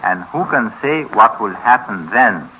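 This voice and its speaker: An actor playing a grave, educated escapee from communist Eastern Europe, speaking formally and deliberately in a 1950s radio docudrama. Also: male voice, lowish voice, animated, pushed and bright. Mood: solemn warning. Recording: noisy vintage radio recording.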